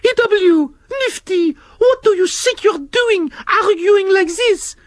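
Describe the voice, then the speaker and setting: A shrill, prim snail lady. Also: slow, dry recording, studio quality. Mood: scolding. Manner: scolding, proper. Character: prim elegant female French snail. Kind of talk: storybook reading.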